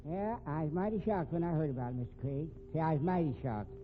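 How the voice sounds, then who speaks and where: A man's voice: on the high side, lively intonation, quick pace, soft background music, lightly acted. An actor playing an evasive, folksy old night watchman in a 1950s radio mystery, rustic and forever repeating himself. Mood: folksy, defensive. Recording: tinny, noisy old radio recording.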